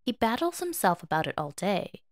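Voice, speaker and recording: Female narrator for audio fiction; lively melodic read, very dry recording. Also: mid-range voice, fairly quick, no echo. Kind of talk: dramatic story narration.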